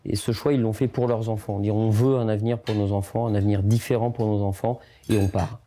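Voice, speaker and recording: A man's voice: fairly low pitch, little pitch movement, brisk, warm tone. A middle-aged man born in Portugal, speaking reflectively in an interview with many hesitations and restarts. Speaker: documentary interviewee.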